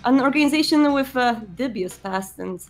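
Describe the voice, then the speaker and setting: A woman's voice: sits high, animated, normal speed, a little hiss or hum, voice pushed up. A woman playing a courteous, weary male vampire prince in a tabletop roleplay, speaking formally and hesitantly. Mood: courteous, grave.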